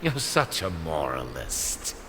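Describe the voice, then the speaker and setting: A man playing a menacing, coldly obsessed gunman in an audio drama, taunting and deliberate in his delivery. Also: extremely low pitch, huge pitch swings, full character voice. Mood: taunting menace. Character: menacing, coldly obsessed male villain with a gun. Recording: long hall reverb, noisy.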